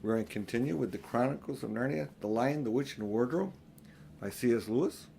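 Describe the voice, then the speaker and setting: A man's voice: mid-range voice, animated, a little fast, gravelly, warm tone, a little hiss or hum. An older man, a grandfather, reading a children's story aloud in an informal, homely style.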